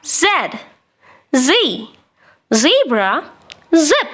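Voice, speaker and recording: Slow, high-pitched woman teaching young children. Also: sing-song, a bit bright. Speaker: children's phonics teacher and presenter.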